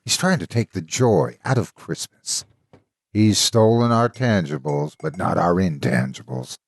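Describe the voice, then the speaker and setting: An actor playing a jolly, friendly village man in an audio storybook, hearty and theatrical, with a husky voice. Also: low-pitched, measured pace, no echo, clean studio sound, exaggerated voice. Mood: indignant. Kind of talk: audio storybook character acting. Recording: music behind.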